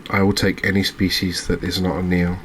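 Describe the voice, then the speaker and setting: A man giving relaxed ASMR commentary over a fishing video game, chatting to viewers and often checking in with tag questions. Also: low voice, fairly quick, a little hiss or hum. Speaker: gaming video host.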